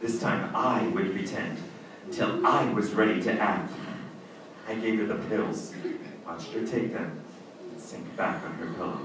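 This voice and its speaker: An actor playing a scheming, cold-blooded judge in old-time radio style, earnest and melodramatic. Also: male voice, low-pitched, measured pace. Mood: cold, calculating. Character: scheming, cold-blooded married male judge confessing murder. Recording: echoey hall from far off, noisy.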